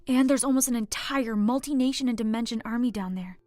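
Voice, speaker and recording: A woman's voice: on the high side, fairly quick, a little mellow, no room sound, faint music behind, close to an everyday voice. A woman voicing a wry, gossipy female bartender in an audiobook, chatty and matter-of-fact. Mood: brisk, gossipy. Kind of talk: scripted audiobook character acting.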